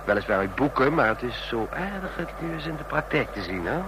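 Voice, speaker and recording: An actor in a Dutch radio drama playing a chatty, smug train passenger obsessed with spy stories, talking in a knowing, needling way. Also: male voice, expressive, fairly quick, natural voice. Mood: smugly chatty. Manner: talkative, knowing, needling with rhetorical questions. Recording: noisy radio play with vehicle rumble.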